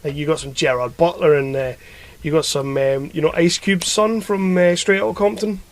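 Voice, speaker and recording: A man's voice: a little high, fairly even intonation, moderate pace. A man hosting a podcast, chatting casually about films in a blunt, sweary, ranting way. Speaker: podcast host reviewing films. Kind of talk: casual chat.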